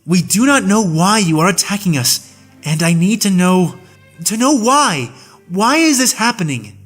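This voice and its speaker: A man voicing a sincere young woman knight who pleads quickly and earnestly in an audiobook. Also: sits high, big pitch swings, fairly bright tone, faint music behind, exaggerated voice. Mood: pleading. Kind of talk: audiobook reading.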